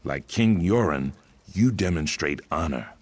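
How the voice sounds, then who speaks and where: A man playing a proud, aggrieved reptilian warrior in a video game, speaking in a solemn, deliberate way. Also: sits low, animated, breathy edge, no echo, full character voice. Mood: grave, respectful. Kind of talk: video game character dialogue. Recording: music behind the voice.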